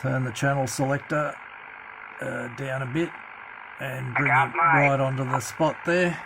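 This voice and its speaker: A man giving a casual, hands-on CB radio hobby demonstration in a halting, matter-of-fact way, recorded on a handheld phone. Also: medium pitch, fairly even intonation, creaky. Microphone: handheld phone camera. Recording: noticeable background noise, handheld phone recording.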